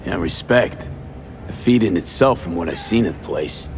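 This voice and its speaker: A man's voice: deep voice, lively intonation, normal speed, creaky, slight background noise. An actor playing a tough, skeptical male mercenary in a video game, with a dry, laconic and clipped delivery. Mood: dry, casual. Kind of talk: cinematic game dialogue.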